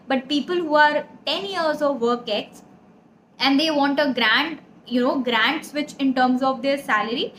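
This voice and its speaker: A woman's voice: high voice, fairly bright tone. A young woman with an Indian accent giving career advice in an earnest, explanatory way, addressing listeners directly. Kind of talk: advisory talk to camera. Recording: echoey room, audible background noise.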